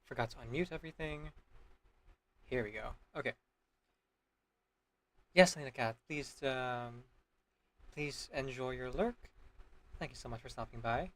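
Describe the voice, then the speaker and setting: A man's voice: medium pitch, measured pace, pauses often. A man chatting casually on a livestream, polite and welcoming to his viewers, over music. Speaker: live streamer.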